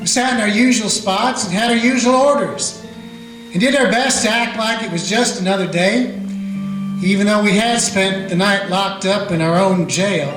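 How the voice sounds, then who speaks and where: A man's voice: sits high, moderate pace, fairly bright tone, faint music behind. A man playing a plain-spoken small-town Western sheriff who also narrates, with folksy grammar. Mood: steady. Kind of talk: amateur radio play acting with narration. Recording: roomy echo.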